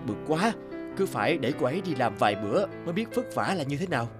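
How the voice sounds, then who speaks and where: A man's voice: on the high side, very animated, fast, over music. A man voicing a disgruntled, condescending young husband and breadwinner in a cartoon. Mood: grumbling. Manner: grumbling, condescending. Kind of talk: animated moral-tale voice acting.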